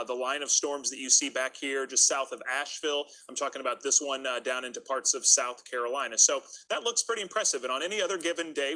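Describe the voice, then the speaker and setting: Bright American male meteorologist, medium pitch. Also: poor audio. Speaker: chief TV meteorologist. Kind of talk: live weather explanation.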